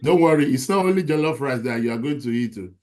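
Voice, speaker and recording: A man thanking a guest warmly in a casual community discussion, often repeating words as he goes. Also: mid-range voice, lively intonation, fast talker. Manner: warm, welcoming, repeats words. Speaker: community member.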